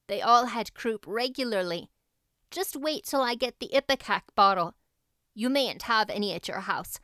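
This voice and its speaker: A woman voicing a confident, talkative young girl heroine in an audiobook, brisk and earnest. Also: fairly high pitch, animated, bright, forceful tone, no room sound, studio quality. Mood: cheerful reassurance. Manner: brisk, earnest, take-charge. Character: confident, capable, talkative eleven-year-old girl heroine.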